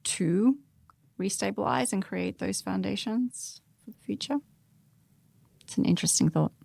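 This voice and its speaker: A woman's voice: medium pitch, a little slow, creaky, a little mellow, no room sound. A woman talking conversationally as a panelist in a podcast discussion.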